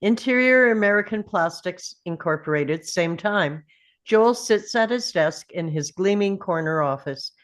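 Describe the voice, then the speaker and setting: A woman's voice: on the low side, animated, measured pace. A woman reading scripted stage directions as narrator at a table read.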